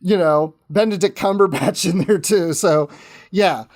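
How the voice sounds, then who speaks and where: A man's voice: high-pitched, measured pace, a little mellow. A man chatting as a guest in a casual fan podcast discussion, conversational with tentative asides.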